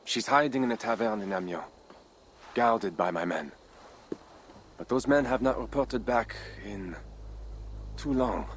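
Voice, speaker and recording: Proud, scheming Frankish nobleman with lively intonation. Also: lowish voice, slight background noise. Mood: grave. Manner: formal, measured, grandiose. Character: ambitious Frankish nobleman, proud, calculating rebel leader. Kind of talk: dramatic video game cutscene acting.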